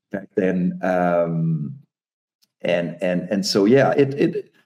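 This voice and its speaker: A man's voice: sits low, animated, extremely slow, dark tone, lo-fi sound. A man in his forties, a native Danish speaker, talking about his book in a hesitant, restarting way. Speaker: author and speaker. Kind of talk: casual conversation.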